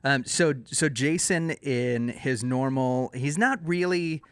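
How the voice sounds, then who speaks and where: A man's voice: medium pitch, slow pace, clear, resonant tone, dry recording. Man chatting wryly, close and dry. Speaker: podcast co-host, pastor. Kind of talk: casual chat.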